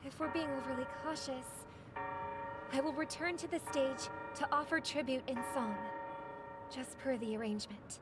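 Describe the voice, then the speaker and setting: An actress playing an earnest, gentle young singer in a video game, formal and respectful, with noisy ambience behind her. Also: high voice, fairly even intonation, moderate pace, loud music behind the voice, close to an everyday voice.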